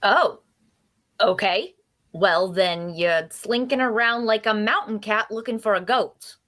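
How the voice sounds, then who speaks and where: A woman's voice: mid-range voice, slow, a bit bright. A woman playing a chatty, nosy and cheerful traveler in a fantasy audio drama, with a teasing, folksy manner. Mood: curious, warning. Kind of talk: dark fantasy audio drama table read.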